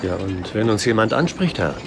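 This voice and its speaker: A man's voice: lowish voice, expressive, normal speed, noisy background. A man playing a wry, doubting disciple in a children's audio drama, dry and needling. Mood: questioning.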